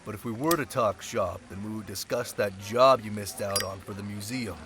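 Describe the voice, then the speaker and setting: A man's voice: fairly low pitch, normal speed, natural voice. A man playing a sly, centuries-old thief turned security specialist, talking in a casual, teasing way in an audio roleplay drama. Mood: playful. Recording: loud crowd chatter behind voice.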